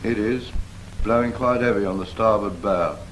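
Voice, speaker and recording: A man playing a patient, brisk Royal Navy instructor in a 1940s British training film, firm and fond of prompting with questions. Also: on the low side, fairly bright tone, natural voice. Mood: instructive. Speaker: naval steering instructor. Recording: noisy 1940s film soundtrack.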